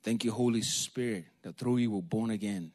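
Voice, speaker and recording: A man from Africa, a preacher giving a sermon in a lively, joking, storytelling way and calling for amens. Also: fairly low pitch, normal speed.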